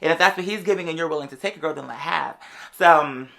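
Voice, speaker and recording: A podcast host telling a personal story in a casual, animated, sassy way, full of slang and drawn-out emphatic words. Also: medium pitch, creaky. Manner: animated, sassy, slangy, addressing listeners as "girl". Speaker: podcast or live show host. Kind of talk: casual storytelling monologue to an audience.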